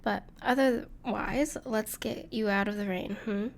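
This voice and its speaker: A woman playing a gentle, caring dragon friend in an intimate audio roleplay, speaking reassuringly to the listener with some hesitation. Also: medium pitch, steady tone, unhurried, vocal fry. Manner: soft-hearted, reassuring, hesitant with small laughs.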